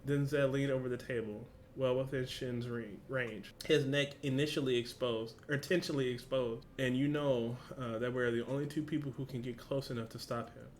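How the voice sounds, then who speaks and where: A man's voice: mid-range voice, fairly even intonation, moderate pace, few pauses, a little hiss or hum. A man with a casual, slangy, informal way of talking, hosting a reaction video and reading along.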